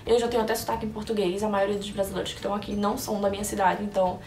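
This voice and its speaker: Young woman, from Brazil, chatty vlog, lots of restarts, gravelly edge, echoey room. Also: medium pitch, flat delivery, racing, darkish tone. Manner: chatty, thinks aloud with many restarts and fillers. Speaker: vlogger answering viewer questions. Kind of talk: casual chat to camera. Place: indoor room in Orlando under bright lights. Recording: echoey room, voice a bit far from the mic, faint music behind.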